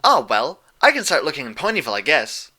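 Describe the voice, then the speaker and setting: A man's voice: on the high side, huge pitch swings, fast talker, pushed and bright, pitched above the performer's own voice, exaggerated voice. A man voicing a perky, eager, chatty young female pony in a story.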